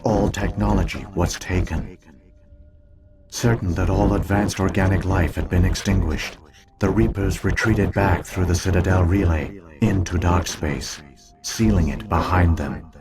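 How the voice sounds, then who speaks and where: Video game role: ancient alien AI, low-pitched, solemn, measured, coldly logical. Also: male voice. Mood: grave, detached. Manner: solemn, measured, formal exposition. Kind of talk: scripted video game dialogue.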